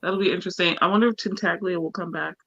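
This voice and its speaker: A woman with a bright, forceful voice talks casually as part of a book panel. She is wry, informal and unafraid to swear. The recording is dry but somewhat dull and lo-fi. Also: lowish voice, steady tone, quick pace, dry recording. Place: online group live stream.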